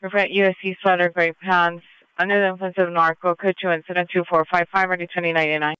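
A woman's voice: on the low side, little pitch movement, quick pace, a bit bright, smooth, dry recording, dull audio. A woman working as a police radio dispatcher, speaking in clipped, procedural phrases over a scanner. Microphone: radio dispatch channel.

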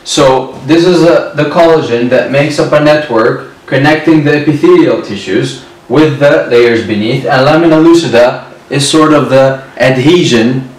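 A man in his twenties giving a whiteboard lecture on histology, speaking slowly and repeating key terms. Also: mid-range voice. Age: 20s. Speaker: medical lecturer. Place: indoor room at a whiteboard. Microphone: far camera. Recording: very echoey room, distant mic.

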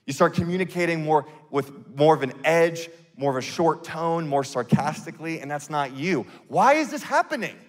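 A man in his thirties preaching a sermon on a church stage into a handheld mic, earnest and conversational, with quick bursts and restarts. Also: on the high side, lively intonation, big-room sound. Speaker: pastor.

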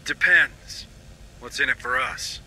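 Forceful, hard-edged marine leader. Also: male voice, medium pitch, slow pace, slight background noise, poor audio. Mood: wary, hostile. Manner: curt, hard-edged, commanding. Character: gruff, embittered marine leader and desperate father. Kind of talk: cinematic game acting.

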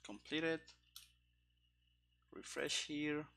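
A man in his thirties thinking aloud as he codes on a stream, halting and muttering to himself into a headset microphone, with keyboard clicks behind him. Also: fairly high pitch, creaky, pushed and bright. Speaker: programmer streaming game development. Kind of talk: thinking aloud while live coding. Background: keyboard typing and clicking.

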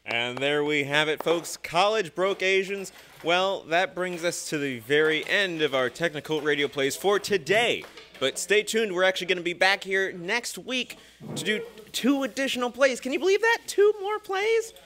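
Casting: young male host, notably high voice, jokey live-radio patter. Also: animated, moderate pace, bright, forceful tone, slight background noise. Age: young adult. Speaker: show host. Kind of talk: jokey live show hosting. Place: small studio, indoors. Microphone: stand microphone.

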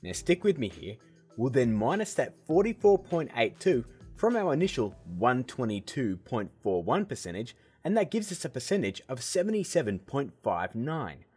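A man hosting a software tutorial, explaining steps in a casual, upbeat way. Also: mid-range voice, very animated, moderate pace, slightly rough, faint music behind. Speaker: video tutorial host.

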